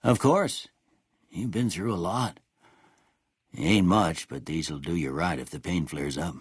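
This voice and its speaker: An actor playing a kindly, world-weary old small-town doctor in a video game, with a husky voice and a folksy, rustic American drawl. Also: male voice, sits low, unhurried, dry recording, clean studio sound. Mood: calm, matter-of-fact. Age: older. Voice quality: husky, gravelly. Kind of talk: video game character dialogue.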